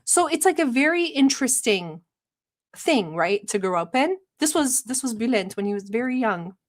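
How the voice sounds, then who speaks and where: A woman's voice: a little high, big pitch swings, normal speed. A woman from Turkey who hosts a livestream, chatting casually and rambling with many filler words, sometimes bossy and sarcastic toward her chat. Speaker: livestream reaction host.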